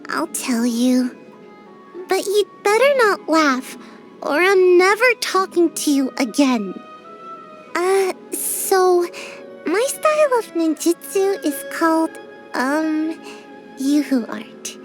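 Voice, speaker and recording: A woman's voice: extremely high pitch, sing-song, takes it slowly, soft background music, heavily acted. A woman voicing a tiny, sleepy young ninja girl in a game, drowsy and a little whiny, shy but grumpy. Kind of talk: game character voice lines.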